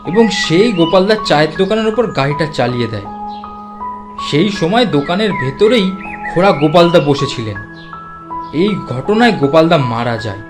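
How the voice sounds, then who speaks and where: A man's voice: on the high side, voice pushed up, natural voice. A man voicing a kindly village field watchman who speaks politely. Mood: somber. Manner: polite, addresses the listener as babu.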